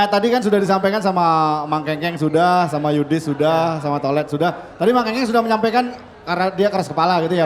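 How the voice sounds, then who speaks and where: A man hosting a casual podcast interview, affirming his guests and asking follow-up questions in a slangy way. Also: high-pitched. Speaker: podcast host.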